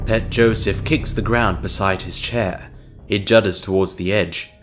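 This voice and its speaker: A man narrating a scripted dramatic audio story in a measured, grave and deliberate manner. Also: fairly low pitch, steady tone, music in the background.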